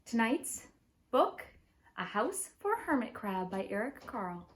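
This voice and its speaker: Very animated woman reading a children's story. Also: fairly high pitch, slight background noise. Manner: expressive, deliberate emphasis. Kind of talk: children's picture book read-aloud.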